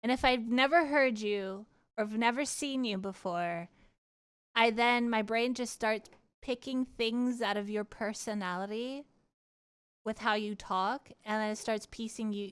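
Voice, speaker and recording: A woman livestreamer casually chatting with her viewers and telling personal stories in an animated, candid, giggly way, swearing freely. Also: a little high, a little slow, clear, resonant tone. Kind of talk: casual chat and personal storytelling.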